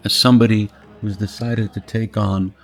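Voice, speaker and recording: A man's voice: on the low side, little pitch movement, moderate pace, creaky. A middle-aged man from Poland, interviewing in a thoughtful, searching way and often restarting his phrases. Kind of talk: reflective conversation.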